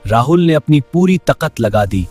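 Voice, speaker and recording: A man's voice: fairly high pitch, lively intonation, moderate pace, darkish tone, soft background music. A man giving scripted narration for a cartoon moral story.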